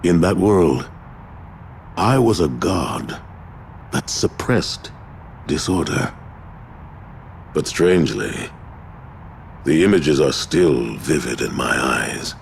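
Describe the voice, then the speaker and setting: An actor playing a huge, weary god of discord in a video game, speaking in a brooding, solemn, sorrowful and halting way. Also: male voice, rumbling low, animated, creaky, slight background noise, full character voice. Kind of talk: dramatic video game voice acting.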